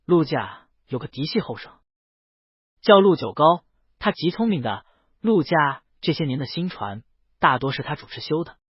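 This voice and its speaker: Shrewd, teasing lord of an auction house male reader acting, measured delivery, animated pitch, slightly husky. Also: no echo, dull audio. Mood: calm, advising.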